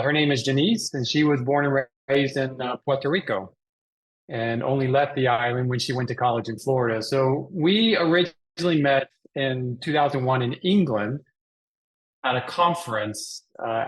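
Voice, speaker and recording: A middle-aged man from Texas speaks as a guest in an interview. His voice sits at a medium pitch as he explains things in a conversational way. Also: poor audio. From Texas, Dallas area. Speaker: guest, mission organization president.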